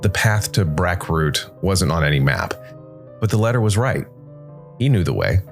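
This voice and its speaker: A man's voice: low voice, animated, normal speed, over music. A man hosting a podcast and reading scripted story narration.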